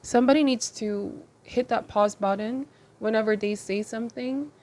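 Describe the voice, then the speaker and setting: A woman's voice: a little high, normal speed, a little mellow. Woman giving a reflective tarot reading. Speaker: tarot reader and channel host. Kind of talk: intuitive tarot reading addressed to viewers.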